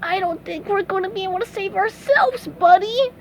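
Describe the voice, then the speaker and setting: A woman voicing an excitable, anxious young male cartoon sea sponge with a dramatic, exclamatory way of talking. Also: extremely high pitch, takes it slowly, a little hiss or hum, pitched above the performer's own voice, heavily acted. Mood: weak, resigned. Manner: exclamatory, dramatic, stammering when upset.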